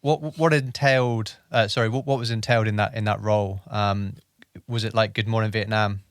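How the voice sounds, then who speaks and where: A male podcast host with a smooth, clean, low voice, recorded dry and close. He asks questions hesitantly and reworks them as he speaks. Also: fairly even intonation, a little fast, dry recording. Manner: hesitant, rephrases his questions. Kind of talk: interview questions.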